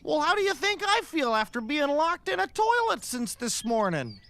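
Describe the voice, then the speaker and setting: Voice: sits high, wildly swooping pitch, normal speed, sharp tone, exaggerated voice. A performer playing a whiny, meek, put-upon man, a prissy former agent in a scripted comedy. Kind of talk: scripted audio comedy acting.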